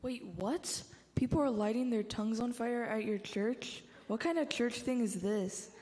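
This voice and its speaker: A woman's voice: a little high, a little slow, darkish tone, close to an everyday voice. A young woman playing a skeptical, sarcastic teenage girl in a church reader's theater, recorded in an echoey hall. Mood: puzzled, skeptical. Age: teenager or young adult.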